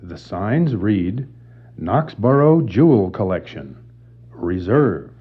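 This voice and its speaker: A man's voice: fairly low pitch, big pitch swings, slow, slightly rough, phone-quality sound. A man reading out written signs in a plain descriptive style. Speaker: reader of on-screen signs.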